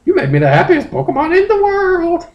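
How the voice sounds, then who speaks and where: A man voicing a gushing, bossy female monster bird in a comic dramatic reading, in exaggerated falsetto. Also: huge pitch swings, fairly quick, reverberant, dull audio, exaggerated voice. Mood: gushing, delighted. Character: breathy, demanding, gushingly grateful female monster bird lover. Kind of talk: mock dramatic reading of fan fiction.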